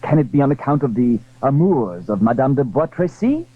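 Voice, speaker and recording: A man's voice: mid-range voice, very animated, fairly quick, husky, narrow, tinny audio. A man playing a gallant, eager young swordsman who speaks in bold exclamations in an adventure audio drama. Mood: curious, startled.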